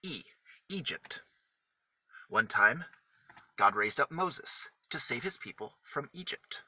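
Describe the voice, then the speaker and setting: Bright-voiced man reading a children's story. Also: mid-range voice, sing-song. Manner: deliberate, emphatic phrasing with single words set apart. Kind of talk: picture-book read-aloud for small children.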